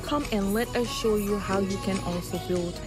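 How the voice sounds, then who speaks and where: A woman's voice: mid-range voice, normal speed. A woman delivering an upbeat promotional call to action. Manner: upbeat, urging. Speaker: promo presenter. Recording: loud music behind.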